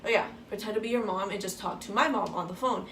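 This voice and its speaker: A woman's voice: mid-range voice, fast talker, creaky, a bit bright. A teenage girl chats through a story in a casual way, swearing often and acting out each line of dialogue. She sounds a little far from the mic in an echoey room, with a faint hiss behind her. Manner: casual, swears freely, acts out quoted dialogue. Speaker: vlogger.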